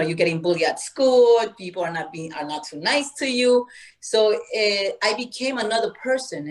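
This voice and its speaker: A woman's voice: on the low side, rough recording. A woman from Peru, a guest telling her story in an earnest, spiritual, conversational way. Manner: earnest, spiritual, animated, acts out quoted voices.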